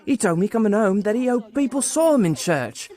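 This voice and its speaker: An actor playing a gentle, devout and loving parent of a poor family in a theatrical radio play. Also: medium pitch, expressive, brisk, close to an everyday voice. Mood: tender.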